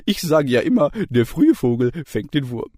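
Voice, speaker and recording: A man's voice: medium pitch, big pitch swings, normal speed, dry recording, clean studio sound. A man reading a story aloud and voicing a jovial, ever-grinning male lecturer who loves old sayings. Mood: jolly. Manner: cheerful, loud, bright.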